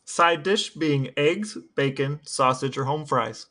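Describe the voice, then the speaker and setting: Male co-host, slightly high pitch, bright tone, casual banter. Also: slow. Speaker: podcast co-host. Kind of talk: casual chat.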